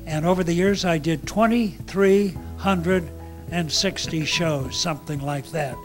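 Older male speaker, slightly high-pitched, informal address at a microphone. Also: measured pace, faint music behind. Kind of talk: informal convention talk. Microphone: microphone on a stand.

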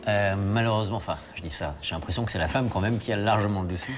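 Music plays behind a man with a lowish voice who talks quickly. He presents on location, chatting and questioning in a wry, teasing tone. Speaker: travel documentary presenter. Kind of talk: conversational interviewing on location. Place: on location in a stone abbey and outdoors.

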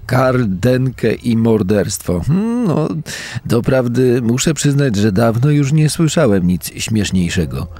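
Man acting a husky, mocking police commissioner. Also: mid-range voice, sing-song, takes it slowly, mellow, heavily acted. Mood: amused, mocking. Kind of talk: true-crime audio dramatization.

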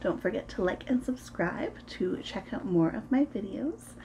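A woman in her thirties reading a picture book aloud to preschoolers in an expressive, sing-song way, as host of a story time. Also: mid-range voice, measured pace, vocal fry, slight background noise. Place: small room at home, indoors.

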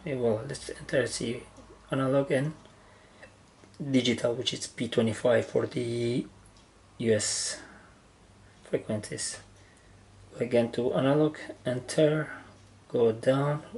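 A man giving a casual, halting step-by-step demonstration of equipment in short phrases, as a hobbyist. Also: medium pitch, a little mellow. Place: indoor room with wooden surface. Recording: noisy handheld camera recording indoors.

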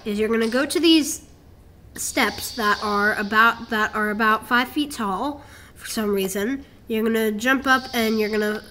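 A woman's voice: fairly high pitch, unhurried, bright, forceful tone, a little hiss or hum. A woman giving a casual game walkthrough, talking the viewer through steps in a rambling, self-correcting way. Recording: game music playing behind the voice.

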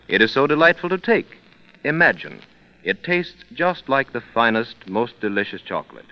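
30s radio ad guy, very animated polished announcer delivery, dull old recording. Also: medium pitch. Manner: emphatic, polished sales delivery. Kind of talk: 1930s radio advertising and announcing. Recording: muffled 1930s radio recording.

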